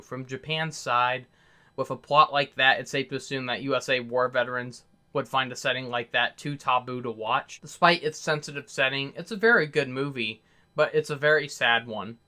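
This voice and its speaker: A man's voice: mid-range voice, bright, forceful tone. A man narrating a scripted video review as the reviewer, in an earnest, opinionated manner.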